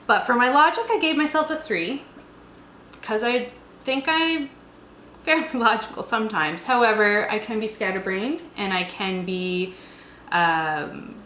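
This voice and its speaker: A woman's voice: on the high side, measured pace, sharp tone. A woman co-hosting a tabletop roleplaying show in loose, casual conversation. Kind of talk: casual chat. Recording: roomy echo, faint background noise.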